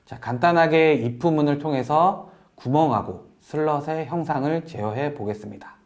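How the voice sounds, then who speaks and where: A man presenting a software tutorial in a polite, explanatory way, walking through each step as an instructor. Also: fairly high pitch, measured pace. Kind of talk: software tutorial narration over screen demo.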